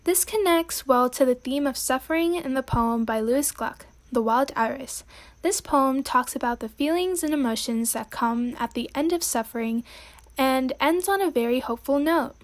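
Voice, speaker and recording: A woman's voice: high voice, moderate pace. A young woman hosting a student poetry podcast, reading her commentary in an earnest, read-aloud way. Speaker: podcast host.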